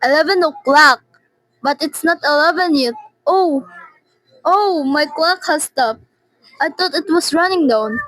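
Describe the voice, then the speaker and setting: A teenage girl acting in a radio drama as a frantic, demanding invalid woman alone at night, anxious and repeating herself haltingly. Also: high voice, fairly bright tone, rough recording. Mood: fearful, upset. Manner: anxious, imperious, halting repetitions.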